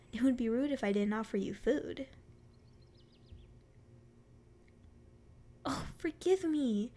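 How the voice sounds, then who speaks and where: Casting: female performer as a sweet, playful forest fairy for ASMR roleplay, very high voice with a creaky edge, teasing and curious. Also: a little mellow. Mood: warm, hospitable. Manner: sweet, flirty, teasing endearments. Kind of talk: ASMR roleplay, addressing the listener.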